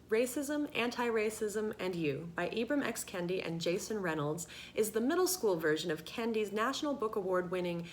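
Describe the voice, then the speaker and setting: A woman reading a scripted introduction as a presenter. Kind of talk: scripted introduction, read aloud. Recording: noisy recording with low rumble.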